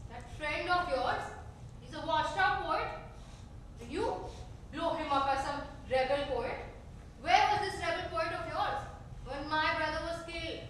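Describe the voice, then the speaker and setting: A woman's voice: sits high, measured pace, fairly bright tone, a little hiss or hum. A woman playing a bitter, combative married daughter in a staged play reading, scornful and taunting. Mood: scornful, heated. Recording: very echoey theater, far from mic.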